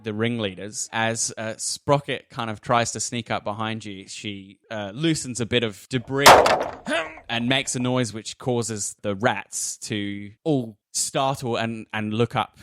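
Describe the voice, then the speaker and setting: A man's voice: fairly low pitch, steady tone, measured pace, fairly bright tone, a little hiss or hum. A man narrating a tabletop roleplaying game as game master, with descriptive storytelling.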